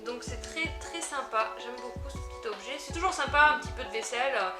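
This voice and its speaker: A woman chatting through an unboxing vlog, easily delighted and quick to giggle. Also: fairly high pitch, expressive, echoey room, music in the background. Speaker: unboxing vlogger. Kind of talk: casual product unboxing chat.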